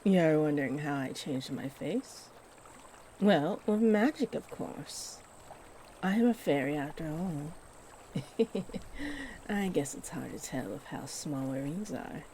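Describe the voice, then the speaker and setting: A woman voicing a chatty, kindly shapeshifting fairy, nearly human-sized, gentle and expressive, in a scripted roleplay spoken to the listener. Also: low voice, takes it slowly, a little hiss or hum, full character voice. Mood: friendly, chatty.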